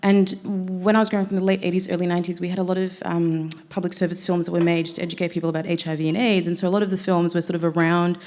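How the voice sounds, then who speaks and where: A woman's voice: on the low side, flat delivery, a little mellow. Woman in her 30s running a panel talk, reflective and chatty, speeds up in bursts. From Zambia. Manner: reflective, conversational, quick bursts of speech. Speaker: panel moderator. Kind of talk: panel discussion.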